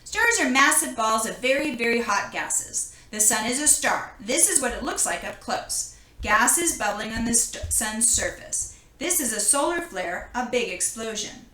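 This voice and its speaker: Lady reading a kids science book out loud, bright highish voice, explains stuff as she goes, echoey room. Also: big pitch swings. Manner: explanatory, pausing to point things out. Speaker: reader of a children's book. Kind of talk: children's read-aloud of a science picture book.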